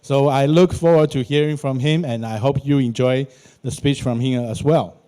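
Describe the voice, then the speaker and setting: A man's voice: mid-range voice, steady tone, normal speed, mellow, clean tone. Hesitant man chairing a session. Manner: hesitant, many uhs, non-native phrasing. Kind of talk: introducing speakers. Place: large conference hall.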